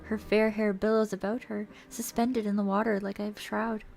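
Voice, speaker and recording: A woman streamer reading a visual novel aloud as theatrical storybook narration, expressive and playful. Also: medium pitch, moderate pace, vocal fry, poor audio. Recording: music behind the voice.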